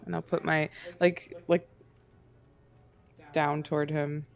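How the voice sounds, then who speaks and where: A man with a gravelly voice plays at a tabletop game, sounding slow and hesitant. The recording is dull and muffled. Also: fairly high pitch, flat delivery. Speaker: player. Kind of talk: in-character tabletop play.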